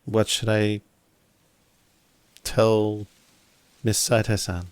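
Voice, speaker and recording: Tabletop game role: earnest, flustered male go-between of the samurai era, played by a man. Low pitch, courteous, stammering. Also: flat delivery, darkish tone, smooth, dry recording. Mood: earnest reassurance. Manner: halting, polite, stammering.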